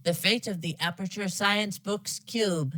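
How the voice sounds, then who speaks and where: A woman voices a polite female computer assistant from a game. The voice is mid-pitched and synthetic, giving formal, stilted instructions. It sounds very dry, with no room around it. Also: measured pace, pushed and bright, no echo. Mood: formal, detached. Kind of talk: scripted game announcements.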